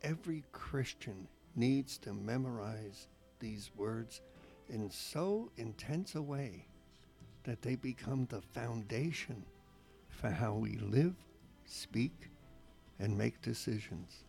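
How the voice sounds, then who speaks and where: Slow, gravelly man reading a reflection. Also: mid-range voice, lively intonation, darkish tone, soft background music. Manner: measured, earnest. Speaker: radio show co-host.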